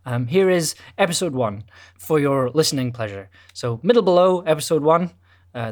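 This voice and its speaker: A man introducing his audio drama podcast, hesitant, with filler sounds. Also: medium pitch. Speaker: podcast creator.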